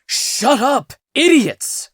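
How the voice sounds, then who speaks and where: A man's voice: sing-song, extremely slow, a bit bright, husky, dry recording, clean studio sound, full character voice. A reader voicing a panicky, bossy injured young man who shrieks orders at his friends. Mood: frantic shouting. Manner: frantic, shrill, wailing.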